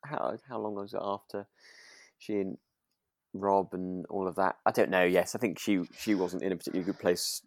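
A British man co-hosting a podcast in relaxed casual chat, rambling a little hesitantly and sounding amused. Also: deep voice, normal speed, no echo, clean studio sound. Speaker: podcast co-host.

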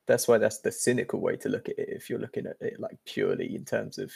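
A laid-back man trading jokes on a podcast, his voice heavily gravelly with plenty of fry. There's a husky, slightly breathy edge to it, and he tends to echo himself in easy agreement. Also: lowish voice, fast talker, darkish tone. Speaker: podcast co-host. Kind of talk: casual joking chat.

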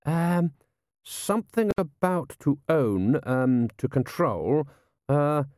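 A man voicing a nervous, hesitant young army lieutenant with halting speech in an audiobook. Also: mid-range voice, very animated, mellow, dry recording, clean studio sound, natural voice. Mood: flustered. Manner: halting, stammering.

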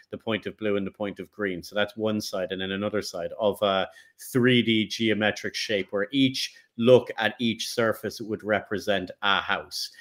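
A man with a lowish voice co-hosts a relaxed podcast chat about game lore. He lays out his points patiently and methodically, giving examples as he goes. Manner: explanatory, walks through ideas step by step. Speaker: podcast co-host. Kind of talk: casual discussion of game lore.

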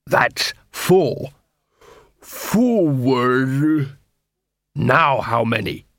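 A man voicing a grumbling dwarf watchman with a deliberate, instructive way of talking in a fantasy story. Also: mid-range voice, expressive, vocal fry, fairly bright tone, no room sound, studio quality, heavily acted.

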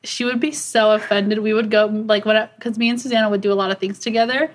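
A woman's voice: mid-range voice, steady tone, fast talker. A young adult woman hosting a podcast, leading a casual chat and laughing often. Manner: upbeat, laughs often, leads the conversation. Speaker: podcast host.

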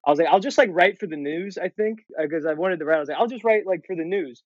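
A man's voice: high voice, fast, no echo, dull audio. Rambling young man from Ohio. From Ohio, USA. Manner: rambling, many fillers, swearing. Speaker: comedian guest. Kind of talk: casual podcast chat.

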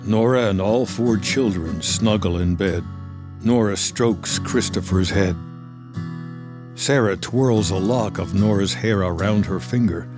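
A male narrator reads screenplay action in a lowish voice. He takes it slowly, over faint guitar music.

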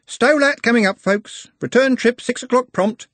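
A man's voice: high-pitched, big pitch swings, moderate pace, slightly rough, no room sound, pitched above the performer's own voice, full character voice. A man doing a cheerful, wry bus driver. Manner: chatty and wry, with a raised, bright delivery. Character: cheerful, worldly middle-aged male night-bus driver.

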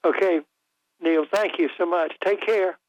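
An older man hosting a call-in radio talk show, talking in a halting way with many fillers and restarts, his voice a little rough. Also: sits high, fairly even intonation. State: voice slightly rough after illness. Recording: dry, tinny broadcast audio.

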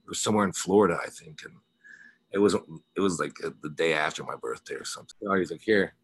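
A man's voice: low-pitched, fast, breathy edge. An American man from the Ozarks in Missouri, a guest telling stories casually in an interview in a rambling, anecdotal way. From small town in the Ozarks, Missouri, USA. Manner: rambling, anecdotal, peppered with "you know". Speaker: guest, rock guitarist and singer.

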